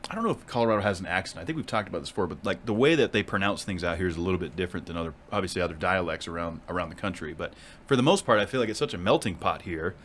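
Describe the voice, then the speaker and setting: A man from New England hosts a casual podcast chat in a studio, and his words come out quick. His voice sits a little low. A faint hiss of noise stays under the speech. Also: expressive, fairly bright tone. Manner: fast, upbeat, laughs often. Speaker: podcast host.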